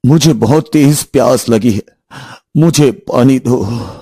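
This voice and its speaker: A man's voice: mid-range voice, slow, warm tone, a little hiss or hum, lightly acted. A man voicing an ailing, anxious king in a dramatic Hindi fairy tale cartoon. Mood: composed. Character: ailing adult king, anxious, easily alarmed.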